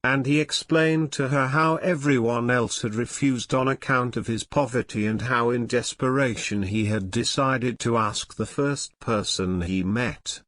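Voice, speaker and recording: A man's voice: medium pitch, measured pace, hardly any pauses, fairly bright tone, no room sound. A man reading a scripted storybook tale as narration for English learners.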